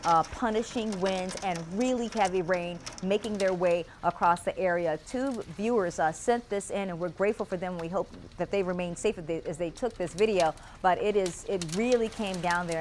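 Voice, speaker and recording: A woman's voice: medium pitch, normal speed, few pauses, slight background noise. An American woman presenting live severe weather coverage on TV, ad-libbing as she goes.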